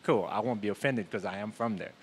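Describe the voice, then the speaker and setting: A man's voice: lowish voice, fast talker, slight background noise. A man from Haiti speaking conversationally as a guest, explaining his own views and story. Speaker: panel guest from a church.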